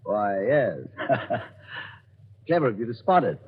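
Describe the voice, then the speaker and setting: A man's voice: medium pitch, expressive, slow, husky. A man playing a polite but evasive British stranger, courteous and genteel, in 1940s radio acting. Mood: smooth, evasive. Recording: muffled old radio broadcast.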